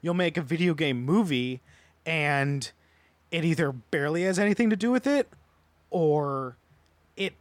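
High-voiced man in casual debate. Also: animated, unhurried, long pauses, dry recording. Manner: swears freely. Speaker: podcast panelist. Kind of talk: casual opinionated group discussion.

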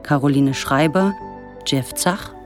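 A woman's voice: deep voice, slow. A woman announcer reading radio drama titles and credits in a formal, scripted style. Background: music.

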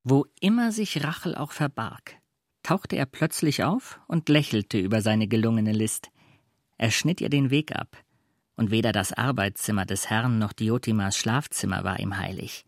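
A man reading literary narration for a radio play, with measured and precise phrasing. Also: lowish voice, no echo, studio quality.

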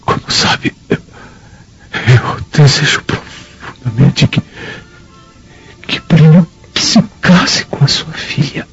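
An actor playing an anguished, aging Roman patrician father in a radio drama, speaking haltingly with a gravelly, breathy, faltering voice. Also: on the high side, a bit bright, exaggerated voice. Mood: wistful, pleading. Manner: halting, dramatic, sighing delivery. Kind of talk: Brazilian radio drama acting. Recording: dull lo-fi radio drama, faint music.

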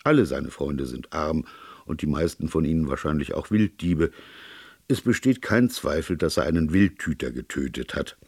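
A man voicing a grave, plain-spoken police colonel in a storybook reading, earnest, formal and deliberate. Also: extremely low pitch, animated, vocal fry, darkish tone, breathy edge, no echo, clean studio sound, exaggerated voice. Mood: grave, emphatic. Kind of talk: audiobook character dialogue.

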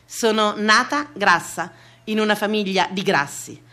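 A woman performing a theatrical comic monologue, expressive, with deadpan comic lists. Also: medium pitch, measured pace.

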